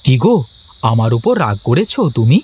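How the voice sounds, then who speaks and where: A man's voice: mid-range voice, sing-song, normal speed, a little mellow, natural voice. A man voicing an earnest, kind-hearted poor village husband in a cartoon, speaking expressively and cajolingly. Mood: earnest, coaxing. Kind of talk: Bengali moral-story cartoon dubbing.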